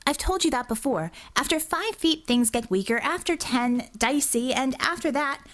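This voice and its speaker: Woman acting defiant, flippant young healer, pitched up. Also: animated, moderate pace, a bit bright. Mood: exasperated. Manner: flippant, clipped, argumentative. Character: defiant, sarcastic young female telepath healer. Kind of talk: audiobook character dialogue.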